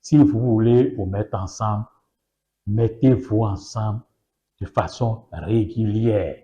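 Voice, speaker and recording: A man's voice: lowish voice, takes it slowly, dark tone, muffled recording. A man whose mother tongue is Yoruba, a political guest in an interview, speaking emphatically with repeated phrases and rhetorical questions.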